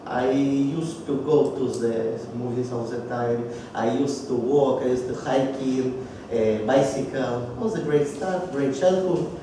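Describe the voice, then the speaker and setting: A man in his thirties from Belarus with a Russian accent, telling his life story to a speaking club and often pausing to search for words. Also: medium pitch. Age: 30s. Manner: halting, searching for words in a second language. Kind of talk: personal life story speech. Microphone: distant microphone. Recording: echoey room from far off, background noise.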